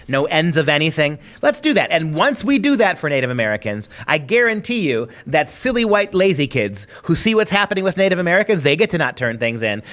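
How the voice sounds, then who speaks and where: Male talk host, somewhat high voice, sarcastic and emphatic commentary. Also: fairly bright tone. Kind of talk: opinionated commentary.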